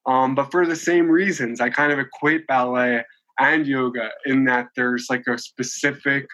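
A man's voice: mid-range voice, steady tone, moderate pace, sharp tone. A man in his late twenties, a podcast guest, talking in a chatty, rambling way with many restarts and fillers. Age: 20s. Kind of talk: casual conversational interview.

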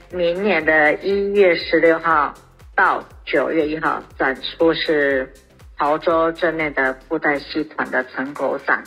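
Female museum staffer, Taiwanese Mandarin accent, low and rough-edged, announcing over music. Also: lo-fi sound. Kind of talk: informational announcement of exhibitions.

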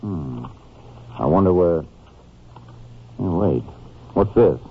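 An actor playing a steady, businesslike Texas Ranger in a 1950s radio drama, with a direct, matter-of-fact American delivery. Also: male voice, low voice, takes it slowly, creaky, a little hiss or hum, heavily acted. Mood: intent, curious. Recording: muffled, narrow-band old radio recording.

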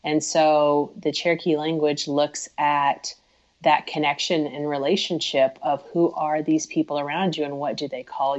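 Low-voiced woman author, lo-fi sound. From Oklahoma. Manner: warm, explanatory, like speaking to students. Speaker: guest author. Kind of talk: podcast interview. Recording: dull lo-fi sound.

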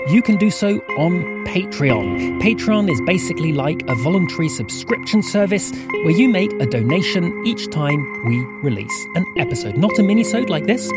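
A man reading scripted podcast credits and a listener appeal as the show's announcer. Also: fairly high pitch. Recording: music under the voice. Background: prominent music.

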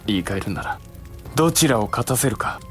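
An earnest samurai retainer and younger brother played by a man, medium in pitch. His delivery is formal, deferential and anxious, in period radio drama style, over light music. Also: animated, a little fast, lightly acted. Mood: awed, grave. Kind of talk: Japanese period audio drama acting.

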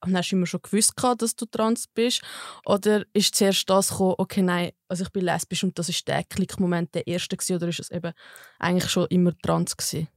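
A woman in her twenties from Zurich with a Swiss German accent, hosting a casual podcast chat, frank, quick to laugh and swearing casually. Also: fairly low pitch, fairly even intonation, no echo, clean studio sound. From Zurich, Switzerland. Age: 20s. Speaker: podcast host.

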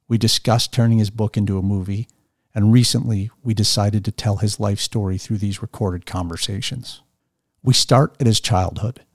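A man with a fairly low voice hosts the show, reading his introduction and questions at a steady, measured pace. The recording is dry and close, with no room echo. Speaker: podcast host. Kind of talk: scripted introduction and interview questions.

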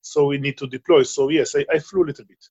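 Halting Israeli man on a muffled line. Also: fairly high pitch, fairly even intonation, dark tone. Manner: halting, searching for English words, frequent fillers. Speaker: guest. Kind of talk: remote interview conversation.

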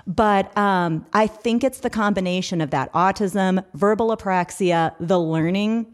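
Woman podcast host, middle-aged, earnest and explanatory, mid-pitched voice. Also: a little slow. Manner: earnest, explanatory, parent giving advice. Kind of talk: casual podcast chat.

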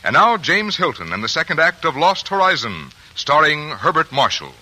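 A man's voice: fairly high pitch, sing-song, moderate pace, noisy background. A male radio announcer reading a commercial in a friendly, persuasive way. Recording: old radio broadcast.

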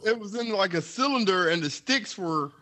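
A man's voice: high voice, expressive, fairly quick, pushed and bright. A man chatting casually as a co-host in loose banter.

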